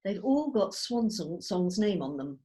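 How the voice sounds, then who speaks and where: A woman narrates a screenplay, reading out scene headings and stage directions. Her voice sits at an average pitch for a woman. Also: sing-song, measured pace, warm tone. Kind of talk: scripted narration. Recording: video call.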